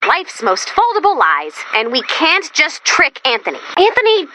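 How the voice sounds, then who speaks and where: A woman voicing a quirky young female robot helper in a video game, chipper and expressive with sarcastic asides. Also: high voice, moderate pace, slight background noise, heavily acted. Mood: wry, feisty. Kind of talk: animated video game character voice-over.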